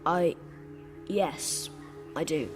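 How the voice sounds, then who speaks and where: A woman's voice: deep voice, drawn-out words, over music. A woman playing an obsessive, guarded young British schoolboy who speaks in terse, evasive replies in an audio drama. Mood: hesitant, insistent.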